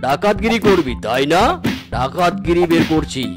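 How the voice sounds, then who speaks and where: High-voiced stern, theatrical cartoon tiger man. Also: expressive, lightly acted. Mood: scolding. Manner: theatrical, commanding, chanting warnings and drawn-out threats. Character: stern scheming tiger uncle, posing as a holy man. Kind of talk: cartoon voice acting. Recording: music behind the voice.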